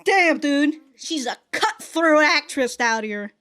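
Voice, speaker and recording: A woman's voice: sits high, lively intonation, slow, pushed and bright, faint music behind. A woman giving casual, slangy reaction commentary with plenty of swearing and exclamations. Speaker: reaction video host. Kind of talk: casual reaction commentary to an anime, reading subtitles aloud.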